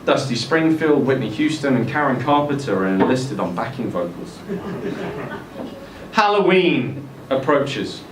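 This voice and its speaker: A man's voice: mid-range voice, big pitch swings, unhurried. A man reading a comic story dramatically at a live literary event. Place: indoor social room at a live event. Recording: echoey venue, distant camera mic, faint noise.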